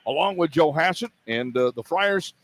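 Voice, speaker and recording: A man's voice: a little high, animated, normal speed. An American man doing live play-by-play broadcast commentary for radio as an announcer. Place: indoor sports arena. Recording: noisy live arena broadcast. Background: arena ambience and noise.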